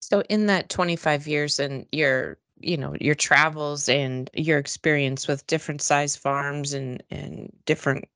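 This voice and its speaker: Low voiced lady podcast host, chatty friendly questions, dry sound. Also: unhurried, few pauses, a bit bright, no echo. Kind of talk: hosting a company podcast.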